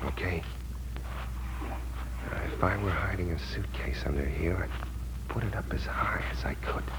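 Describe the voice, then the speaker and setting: An actor playing a tense amnesiac war veteran in a hard-boiled 1940s radio drama. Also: male voice, low-pitched, unhurried, whispered, poor audio. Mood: hushed, wary. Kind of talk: 1940s radio drama acting with first-person narration. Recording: old 1940s radio broadcast.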